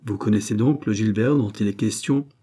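A man with a husky voice reading the part of a king, asking questions in a measured, authoritative way. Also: fairly low pitch, mellow, lightly acted. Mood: stern.

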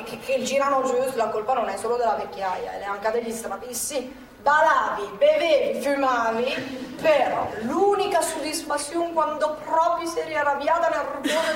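An actress playing an elderly Milanese former partisan woman in a theatrical monologue, nostalgic, earthy and rambling, in colloquial speech. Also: sits high. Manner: colloquial, rambling, repeating herself. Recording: echoey theatre, distant mic, faint noise.

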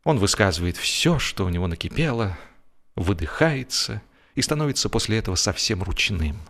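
A man's voice: fairly low pitch, sing-song, normal speed, fairly bright tone. A man narrating humorous literary prose from a script, as an audiobook narrator.

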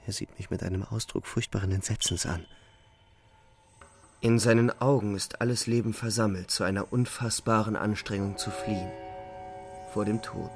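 Man acting a grave, creaky-voiced anguished young soldier. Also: fairly low pitch, fairly even intonation, normal speed, natural voice. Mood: horrified. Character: anguished young male soldier recounting a killing in a shell hole. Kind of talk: dramatic first-person radio play monologue. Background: music.

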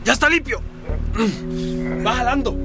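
An actor playing a sly, bullying street swindler in a radio drama, with slangy, smooth talk that can turn to bluster. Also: male voice, very high voice, normal speed, over music. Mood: aggressive, threatening.

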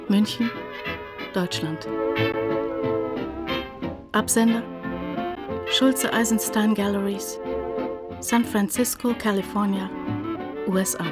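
Woman acting slow formal letter-header reader, clipped and matter-of-fact, loud strings and brass behind. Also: medium pitch, natural voice. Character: formal, impersonal reader of envelopes and addresses. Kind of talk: radio play narration.

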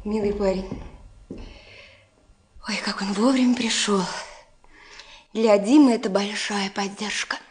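An actress playing a caring, hospitable middle-aged wife and schoolteacher in a Soviet radio drama, insistent and gently teasing. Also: female voice, medium pitch, steady tone, unhurried, pauses often, roomy sound, close to an everyday voice. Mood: fond, teasing. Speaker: actor. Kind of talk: naturalistic 1970s Soviet radio drama acting.

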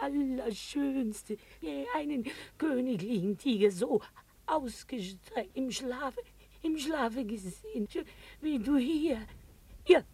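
A woman's voice: high voice, a little mellow, full character voice. A woman playing a grieving animal keeper who wails and sobs over her dead tiger in broken, repeated bursts, in a German radio drama. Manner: broken, halting, repeated short bursts of words. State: crying, sobbing.

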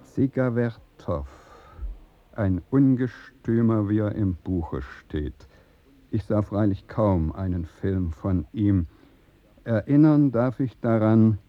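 An actor playing a proud, pompous dead revolutionary who declaims from the underworld in a German radio drama. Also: male voice, fairly low pitch, lo-fi sound.

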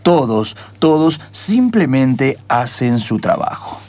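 A man narrating improvised radio theatre in a dramatic, portentous way, with deliberate repetitions. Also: mid-range voice, very animated, darkish tone. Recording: music behind the voice.

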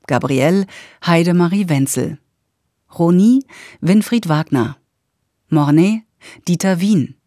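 A woman reads radio credits in a formal, even manner. Her voice is a touch low and mellow, paced slowly, in a dry studio with soft music behind. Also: dry recording. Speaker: announcer. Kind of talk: reading credits.

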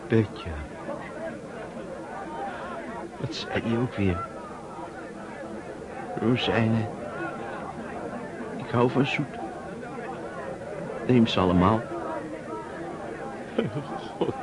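An actor playing a grave Russian army commander in a radio drama, speaking in a terse, brooding way. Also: male voice, on the low side, very slow, long pauses, a loud crowd. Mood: stunned grief.

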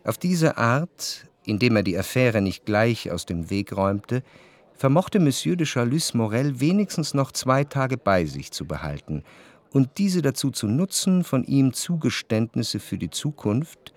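A man narrating a literary radio play as a cultured, wryly ironic observer, measured and articulate. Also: medium pitch, sing-song. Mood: detached, wry.

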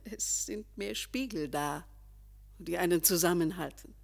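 A world-weary, vain female tourist in her late fifties in a radio play. Her voice is on the low side with a creaky grain. She muses slowly. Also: a little mellow. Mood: agitated, curious. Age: 50s. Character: well-groomed ageing woman tourist, world-weary, vain.

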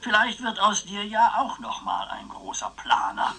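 An actor playing an eccentric old uncle in a radio play, rambling philosophically with a wry, teasing manner. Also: male voice, sits high, huge pitch swings, a little slow, bright, forceful tone, husky, full character voice. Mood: chatty, reflective. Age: older. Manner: musing, chatty, wry.

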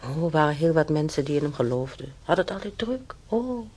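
A man's voice: on the high side, animated, fairly quick, darkish tone, slight background noise, natural voice. An actor in a radio play voicing a chatty, stubborn old German-born man who rambles through his memories with hesitations. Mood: musing, chatty. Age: older. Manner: rambling, reminiscing, with hesitations and tag questions. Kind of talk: conversational radio play acting.

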